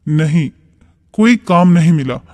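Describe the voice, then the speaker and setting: Poor village farmer played by a man: worried, humble, high-pitched, unhurried, cartoon dialogue with long reverb. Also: expressive, a little mellow. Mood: downcast.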